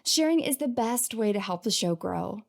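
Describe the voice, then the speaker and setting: A woman's voice: mid-range voice, lively intonation, moderate pace, gravelly. A woman podcast host reading a scripted introduction in an informal, playful way.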